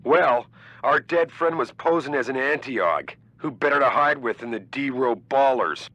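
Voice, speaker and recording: An actor playing a brisk, authoritative corporate boss in a video game cutscene, businesslike in manner. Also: male voice, mid-range voice, animated, fairly bright tone, dry recording, poor audio. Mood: brisk, determined.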